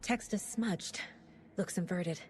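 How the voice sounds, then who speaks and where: Female investigator for game drama: lower register, matter-of-fact, sharp, noisy ambience. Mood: clinical. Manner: businesslike, measured, matter-of-fact. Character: composed, direct female federal investigator, sharp and professional. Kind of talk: naturalistic video game acting.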